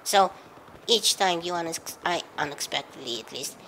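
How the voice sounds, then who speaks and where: A woman's voice: lowish voice, lively intonation, moderate pace, pushed and bright, a little hiss or hum. A woman with a head cold giving casual, rambling, wry video game commentary, with hesitations along the way.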